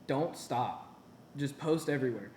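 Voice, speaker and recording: A young man in his twenties talks about running his own small business. He sounds earnest and encouraging and often repeats his points. His voice is mellow, and the room is echoey with a faint low rumble behind him. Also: expressive, unhurried. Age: 20s. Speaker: business owner and guest. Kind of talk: casual interview answers.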